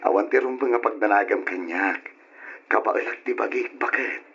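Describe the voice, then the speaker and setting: Bright, husky young suitor. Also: male voice, fairly low pitch, unhurried, heavily acted. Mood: casual, teasing. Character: young man, earnest, teasing suitor in love. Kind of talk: old radio drama acting. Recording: dull, lo-fi old radio drama.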